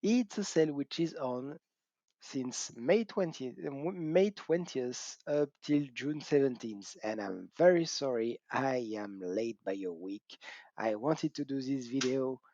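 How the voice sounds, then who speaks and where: A man's voice: mid-range voice, animated, measured pace, mellow, no echo. A middle-aged man with a French accent hosting a casual gaming channel, chatty and self-mocking, laughing often and whooping. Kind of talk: casual talk to camera. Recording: dull cheap mic, dry room.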